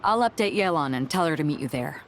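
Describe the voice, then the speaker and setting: A woman acting a composed, shrewd, commanding woman in a video game, with a cool, self-assured and measured manner. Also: low-pitched, expressive, slight background noise. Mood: firm, assured.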